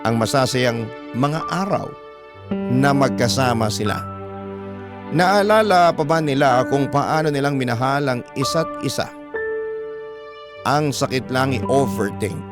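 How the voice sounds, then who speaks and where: A man narrating a love story as a Filipino radio storyteller, measured and sentimental. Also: medium pitch. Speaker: radio storyteller reading a letter sender's story. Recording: prominent music bed behind voice.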